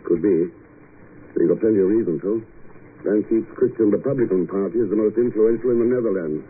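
A man's voice: fairly low pitch, fast, lightly acted. An actor playing a thoughtful, determined male secret agent in a 1950s radio drama. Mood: puzzled. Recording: noisy, tinny vintage radio broadcast.

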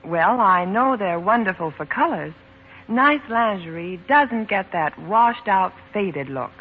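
A woman speaks as a guest in scripted 1940s radio sponsor chat. Her voice is husky and her delivery polite and rehearsed. The old broadcast sounds dull. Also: mid-range voice, expressive, measured pace.

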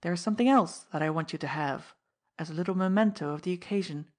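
A woman voicing a masked gentleman thief who speaks softly, coolly and with mocking politeness. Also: lowish voice, fast, lightly acted. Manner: soft, cool, politely mocking.

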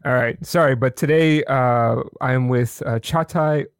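A man's voice: mid-range voice, measured pace, clear, resonant tone, no echo, clean studio sound. A man hosting a casual podcast interview over a video call, chatty and apologetic, often tagging his statements with "right?". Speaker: podcast host.

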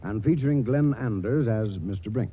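A man's voice: fairly low pitch, normal speed, very noisy. A man announcing a play in a scripted 1940s radio style. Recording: tinny old radio, loud music behind.

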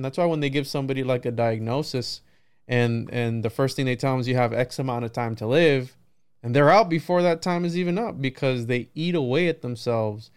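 A man's voice: mid-range voice, darkish tone, smooth. A man in a casual podcast conversation, musing in a rambling way and often checking in with "right" and "you know". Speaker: podcast host.

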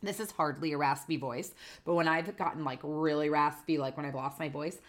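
A woman with a notably low voice hosts a podcast in a loose, chatty way. She is getting over a cold, so her voice is a little nasal. She fills her talk with "um" and "you know" and laughs easily. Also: steady tone, fairly quick. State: recovering from a cold, slightly nasal. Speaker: podcast host. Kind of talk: casual chat. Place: apartment in New York City.

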